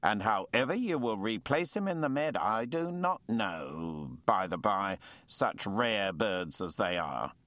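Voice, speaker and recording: A man's voice: fairly low pitch, lively intonation, measured pace, few pauses, a bit bright, no room sound. A man voicing a blustering, indignant navy lieutenant of fifty who rails in an old-fashioned way. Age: 50s.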